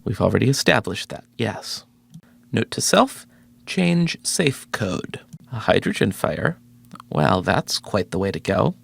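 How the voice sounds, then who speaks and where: A man's voice: on the low side, big pitch swings, a little slow, vocal fry, slightly rough, heavily acted. An actor playing a guarded, sceptical male research scientist in a video game, clipped and dryly sarcastic.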